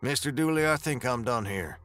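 Man acting out a stern, gruff western gunslinger. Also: mid-range voice, animated, brisk, a bit bright, dry recording, close to an everyday voice. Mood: stern, fed up. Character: stern gruff former Texas Ranger gunslinger, blunt and protective. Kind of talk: western audiobook character acting.

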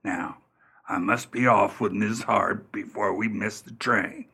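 An actor playing a fussy, anxious caretaker in a radio play, halting and repeating his words as he talks. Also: lowish voice, fairly even intonation, vocal fry, pushed and bright, breathy edge, exaggerated voice. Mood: nervous, fussy.

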